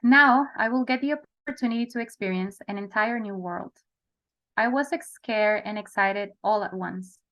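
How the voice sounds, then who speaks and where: A woman's voice: mid-range voice, normal speed, a bit bright. A young woman from El Salvador, a therapist, earnestly telling her life story in a close-mic recorded video. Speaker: honoree, therapist.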